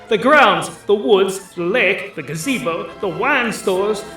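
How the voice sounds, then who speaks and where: Man performing a dragon lawyer, high pitch, bright edge, greedy, wheedling, theatrical, comic fantasy audiobook. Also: expressive, slow, very echoey, far from the mic, soft background music, heavily acted. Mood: appalled disbelief. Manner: wheedling, dramatic, exclamatory.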